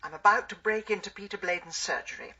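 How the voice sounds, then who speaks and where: An English actress playing a brisk, imperious middle-aged woman detective in a British radio drama. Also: fairly low pitch, lively intonation, sharp tone, husky, lo-fi sound, full character voice. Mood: urgent.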